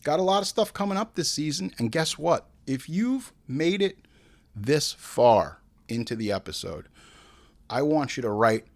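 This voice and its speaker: A man's voice: a little high, animated, measured pace. A man hosting a casual, comedic review show, talking in an emphatic, exasperated, slangy and profane way. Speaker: host of a movie review show.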